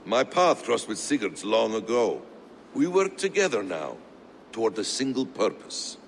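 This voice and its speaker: An actor playing a wise, enigmatic advisor in a video game, philosophical in manner. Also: male voice, on the low side, very animated, unhurried, gravelly, long reverb tail, a little hiss or hum, heavily acted. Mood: solemn.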